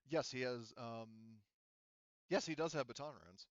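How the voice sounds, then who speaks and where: Halting, smooth-voiced man running a game. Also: mid-range voice, no echo, muffled recording. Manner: halting, thinking aloud, casually profane. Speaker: game master. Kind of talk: running a tabletop roleplaying game, casual and unscripted.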